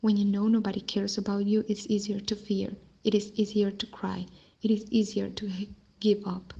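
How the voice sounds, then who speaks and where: A woman's voice: mid-range voice, level tone, moderate pace, creaky, warm tone, breathy edge, lo-fi sound. A woman performing slam poetry as a poet, speaking in an earnest, emphatic way with rhetorical questions and repetition.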